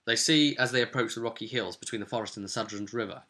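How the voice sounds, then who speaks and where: A man's voice: mid-range voice, quick pace, sharp tone. A man recounting a tabletop role-playing session as its game master, in an informal storytelling way with brief acted-out quotes. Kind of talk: informal spoken recap, storytelling.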